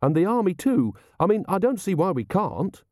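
Man voicing an earnest, high-pitched young watch officer. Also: very animated, moderate pace, a little mellow, no room sound, studio quality. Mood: helpful, earnest. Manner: respectful, guileless. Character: earnest, polite, literal-minded young male watch officer.

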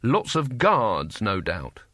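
A man's voice: on the low side, huge pitch swings, slow pace, fairly bright tone, dry recording, clean studio sound, heavily acted. A man voicing a cowardly wizard in a storybook, hesitant and mock-polite, with wry, appalled sarcasm. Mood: exasperated.

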